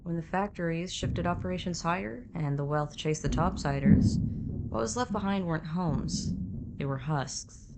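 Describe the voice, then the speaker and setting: A woman's voice: on the low side, normal speed, background noise. A woman co-narrating an atmospheric scripted fiction podcast.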